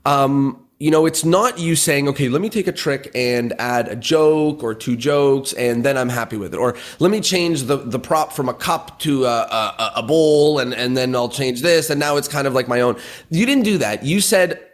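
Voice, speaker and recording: A man's voice: mid-range voice, moderate pace. A man hosting a magic podcast, asking long, winding questions packed with "you know" and restarts. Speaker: podcast host.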